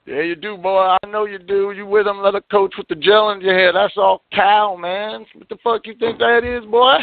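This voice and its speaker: A man's voice: high voice, fairly even intonation, moderate pace, gravelly, dry recording. A man calling a radio show, talking in a rambling, stammering, folksy way that turns taunting. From Alabama. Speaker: caller. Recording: dry, tinny phone line.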